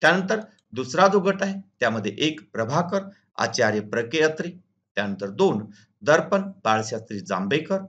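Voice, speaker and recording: A male teacher takes students through exam questions in a muffled, low-fidelity recording. His mid-pitched voice swings up and down in a lively way as he reads out each item. The delivery is formal, methodical and instructional. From Maharashtra, India. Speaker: school teacher and video channel host. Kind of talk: instructional exam walkthrough, reading questions and answers. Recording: dull lo-fi recording.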